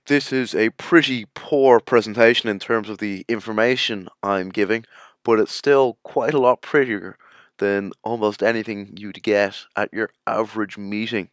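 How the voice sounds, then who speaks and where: A man's voice: on the low side, no room sound, studio quality. A man narrating a screencast tutorial in a casual, informal way, explaining each step as he goes. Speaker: tutorial presenter.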